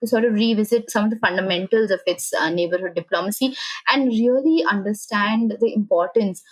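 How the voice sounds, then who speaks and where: A woman's voice: medium pitch, a little fast, rough recording. A woman from India with an Indian accent, speaking as an analytical podcast guest and explaining things with frequent checking tags. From Calcutta, India. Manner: explanatory, often checks with "right?".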